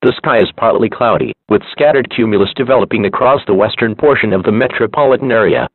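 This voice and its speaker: Robotic tts guy reading emergency alerts, formal and measured, dry muffled radio sound. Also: lowish voice, slightly rough, no room sound. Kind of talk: scripted emergency alert and weather broadcast. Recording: dull lo-fi radio-style broadcast audio.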